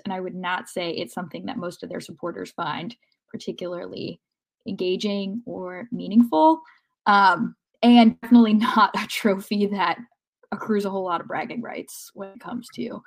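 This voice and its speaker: Female sports podcast panelist, medium-pitched, thoughtful explainer who builds long analytical sentences. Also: normal speed, vocal fry. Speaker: soccer podcast co-host.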